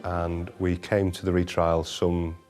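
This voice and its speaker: A man with a deep voice speaks as a documentary interviewee from the prosecution side. He recounts a criminal case unscripted, with music behind him. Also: monotone, slow, darkish tone.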